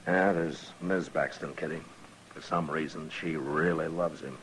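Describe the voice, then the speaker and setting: A low, measured Old West marshal with an American accent. He is calm, firm and terse, speaking with authority in a vintage radio western with noticeable background noise. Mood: relaxed, businesslike. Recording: noisy vintage radio drama recording.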